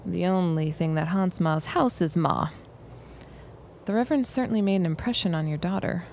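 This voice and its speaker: A woman's voice: fairly low pitch, normal speed, gravelly, a little mellow, a little hiss or hum. An actress playing a wry, practical woman in a period audio drama, dry and plain-spoken.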